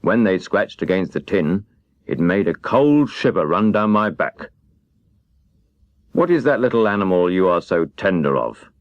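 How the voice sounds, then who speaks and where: Storybook cowardly lion, male reader acting: big beast, hangdog and sheepish, low pitch, slightly muffled recording. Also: sing-song, a little slow, no room sound, heavily acted. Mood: curious, sheepish. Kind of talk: children's storybook character reading.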